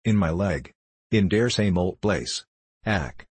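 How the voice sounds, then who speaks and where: A man's voice: low-pitched, lively intonation, slow, slightly rough, no room sound, exaggerated voice. A man voicing a stubborn, ailing old German railroad worker with a growling voice, a thick German accent and broken English. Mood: grumbling. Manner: blunt, grumbling, broken English.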